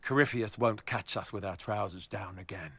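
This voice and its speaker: An actor playing a good-humored, self-deprecating veteran warrior in a game cutscene. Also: male voice, lowish voice, moderate pace, a bit bright, husky, dry recording. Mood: determined. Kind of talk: dramatic game cutscene dialogue.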